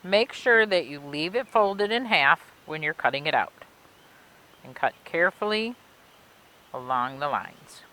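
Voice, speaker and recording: A woman reading and explaining things for children in an expressive way, with simple, clear phrasing, like a children's librarian. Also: low-pitched, measured pace. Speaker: children's librarian reading a story and demonstrating a craft. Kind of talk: read-aloud storytelling and craft instructions for children.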